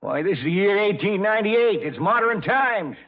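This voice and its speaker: An actor playing an older, blustering, folksy frontier saloon judge in an old radio drama, with an American Western drawl and a gravelly voice. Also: male voice, high voice, lively intonation, moderate pace. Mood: defiant. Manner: folksy, bombastic, self-important. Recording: muffled vintage radio recording.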